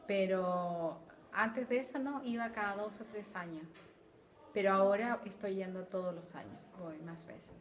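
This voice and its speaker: A woman's voice: mid-range voice, measured pace, a little hiss or hum. A middle-aged woman from Chile, a Spanish teacher, answering interview questions thoughtfully with hesitant repeats.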